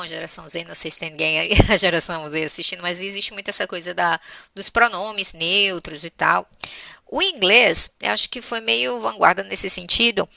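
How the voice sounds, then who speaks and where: A Brazilian woman gives a grammar lesson in a conversational, didactic manner. Her voice is bright and forward with a creaky edge. She lays out each point step by step and checks for understanding, in a dry recording with very little room sound. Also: medium pitch, dry recording. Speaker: English teacher.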